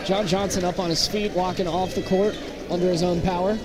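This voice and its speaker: An American man doing live basketball play-by-play in short, clipped phrases that turn excitable on big plays. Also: high voice, fairly quick. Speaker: play-by-play commentator. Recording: very loud gym crowd noise behind. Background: loud crowd and bouncing basketballs.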